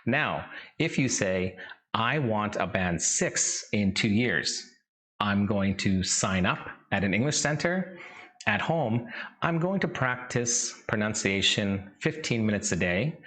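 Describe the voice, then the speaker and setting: Slow, measured Canadian-raised English teacher. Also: male voice, lowish voice, animated, fairly bright tone. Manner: slow, measured, instructive delivery. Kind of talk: scripted lesson narration.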